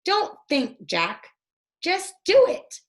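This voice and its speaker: A woman's voice: very high voice, drawn-out words, pitched above the performer's own voice, exaggerated voice. A woman voicing an eager, trusting, insistent young girl who loves animals, in a children's storybook. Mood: eager, coaxing. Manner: insistent, excitable.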